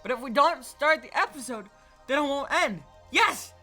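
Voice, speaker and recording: Excitable female comic podcast character, played by a woman: dramatic rambler, bright high voice, lively intonation. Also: normal speed, soft background music. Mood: manic triumph. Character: excitable, scatterbrained female podcast character ranting about immortality.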